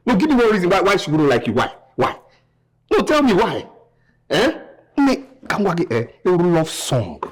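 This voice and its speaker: An actor with a Nigerian accent playing a smitten young man who teases and flatters his girlfriend, mixing in local words. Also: sits high, normal speed. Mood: doting. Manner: teasing, exclamatory, mixes in local words. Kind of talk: comedy skit acting.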